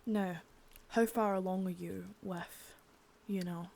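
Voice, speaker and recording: Give me a woman doing a cold, cursing boss who scolds in hushed tones. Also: lowish voice, fairly even intonation, slow pace, vocal fry, a little hiss or hum. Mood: scolding. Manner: harsh, profane, cold, drifts into whispers. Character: stern foul-mouthed female boss, intimidating. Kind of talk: ASMR roleplay.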